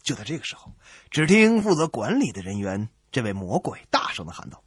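A man hosting a radio comedy show, telling jokes in a conversational, theatrical storyteller's manner with a rough voice. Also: medium pitch, huge pitch swings, a little fast, no echo. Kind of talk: animated joke telling. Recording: dry, faint music behind.

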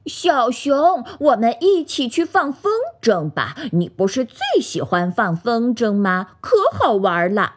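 A woman's voice: fairly high pitch, sing-song, takes it slowly, few pauses, breathy edge, heavily acted. A woman voicing a playful, enthusiastic little pig in a children's storybook, insistent and coaxing.

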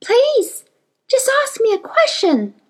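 Woman reader plays an earnest young girl, very high and pleading, with a wide-swinging voice. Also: wildly swooping pitch, slow pace, exaggerated voice. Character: eager, desperate young girl.

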